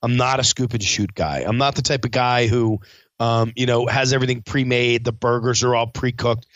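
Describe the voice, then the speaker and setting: A man's voice: mid-range voice, steady tone, normal speed, a bit bright, dry recording, muffled recording. Stuffed-up chef man rambling casually. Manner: rambling, frequent fillers and restarts. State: stuffed up from a cold. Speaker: chef and podcast host. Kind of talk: casual spoken recap.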